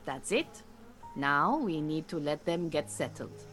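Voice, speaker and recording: A woman playing a calm, capable Iranian beekeeping teacher in a naturalistic audio drama, giving measured, reassuring instruction. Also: deep voice, faint music behind. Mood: calm, instructing. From Iran.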